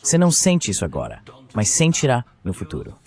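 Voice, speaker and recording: A man delivers a translated voice-over of a heated political exchange. His voice sits a little low, and his intonation is very lively, moving widely up and down. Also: a little fast, slightly rough.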